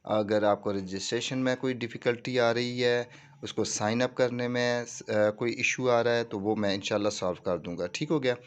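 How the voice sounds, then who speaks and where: A man's voice: medium pitch, fairly even intonation, normal speed, smooth. A man with a Pakistani accent giving an informal step-by-step tutorial, mixing in English terms and often checking that listeners follow. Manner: repeats reassuring check phrases, mixes in English terms. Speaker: tutorial presenter. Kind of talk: informal screen-walkthrough tutorial, explaining step by step.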